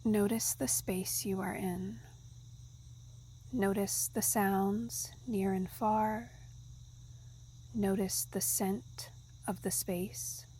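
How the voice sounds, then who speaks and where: A woman guiding a meditation in a soft, soothing, breathy, half-whispered voice. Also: medium pitch, fairly even intonation, extremely slow, pauses often, slight background noise, rough recording. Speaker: yoga nidra guide. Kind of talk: guided meditation narration.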